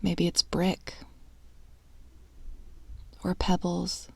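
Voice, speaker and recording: A woman speaks as a meditation guide in a hushed, breathy voice that sits slightly low. Her delivery is very even and slow, with long pauses between soothing phrases. A creaky fry runs through her words. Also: no echo.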